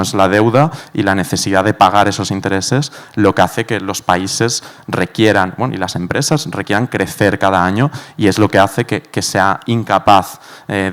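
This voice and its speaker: A man's voice: low-pitched, smooth. A man giving a talk on a panel in an earnest, hesitant way, with many fillers. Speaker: panel speaker.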